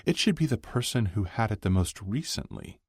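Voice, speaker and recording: A man's voice: on the low side, expressive, moderate pace, breathy edge, no room sound, voice pushed up. A man voicing a cool, knowing woman with a pointed, teasing manner in an audiobook. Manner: pointed, dry. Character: cool, knowing young woman who teases friends.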